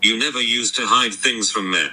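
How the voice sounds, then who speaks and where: A man's voice: medium pitch, normal speed, bright, forceful tone, slightly rough, low-quality recording. A synthetic, robotic male voice confronting someone on a call with blunt, clipped, insistent sentences.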